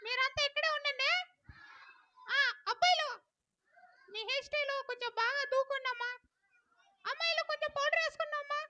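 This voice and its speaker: A performer voicing a cheeky, mischievous little boy puppet in a squeaky childlike voice for a children's puppet show. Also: fairly quick, pauses often, pushed and bright, heavily acted. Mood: cheeky, eager.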